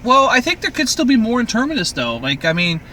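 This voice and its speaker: A man's voice: very high voice, huge pitch swings, a little fast, bright, forceful tone, a little hiss or hum. A man from Canada co-hosting a casual TV recap podcast, opinionated and swearing freely. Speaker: podcast co-host.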